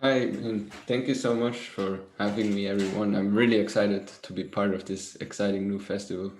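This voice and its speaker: A man from Switzerland, a composer, answering interview questions over a video call from home in a measured way with many fillers. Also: lowish voice, fairly even intonation, a little mellow, reverberant, a little hiss or hum. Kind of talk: webinar interview. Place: grandmother's house.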